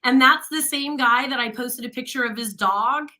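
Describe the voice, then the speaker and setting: Bright high-voiced woman radio host. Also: moderate pace. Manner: chatty, laughs often. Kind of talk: casual chat.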